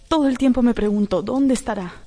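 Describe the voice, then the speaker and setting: An actress playing a romantic, flustered married gentlewoman in a melodramatic radio drama. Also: fairly high pitch, brisk, no echo. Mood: needy. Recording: music behind.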